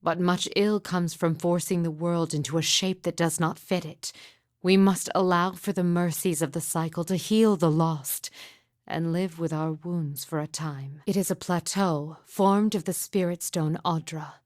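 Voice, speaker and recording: A haunted, mystical woman of a fantasy game , voiced by an actress, speaks in a low register with great deliberation. Her delivery is hushed, solemn and poetic, heavy with grief. The recording is very dry and close. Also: steady tone, unhurried, dry recording, close to an everyday voice. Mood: wistful, recounting. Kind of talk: video game character dialogue, poetic and measured.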